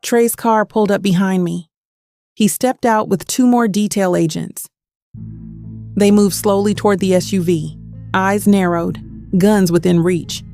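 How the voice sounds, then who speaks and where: An actress playing a cold, resolute, haunted avenger, narrating an audio drama in short, measured phrases. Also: female voice, mid-range voice, steady tone, warm tone, faint music behind, lightly acted. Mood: shaken, tense. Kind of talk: first-person audio drama narration and dialogue.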